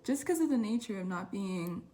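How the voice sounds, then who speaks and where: A woman's voice: mid-range voice, lively intonation, moderate pace, dark tone. A young woman from Southern California whose first language is Spanish, chatting casually in a vlog with lots of fillers and self-corrections, in a dorm room. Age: young adult. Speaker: vlogger. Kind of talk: casual chat.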